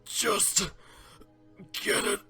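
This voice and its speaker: A man voicing a doomed, tortured robot prisoner with a strained, gravelly voice and halting, pleading delivery. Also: sharp tone, faint music behind, heavily acted. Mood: desperate, pained. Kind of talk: dramatic reading of a comic book script.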